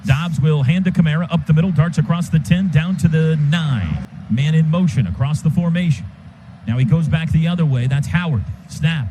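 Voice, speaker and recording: Radio football announcer, male, higher-pitched, clipped rapid calls, stadium noise under him. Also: low-quality recording. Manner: clipped rapid calls, bursts to a shout on big plays. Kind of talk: live radio football play-by-play.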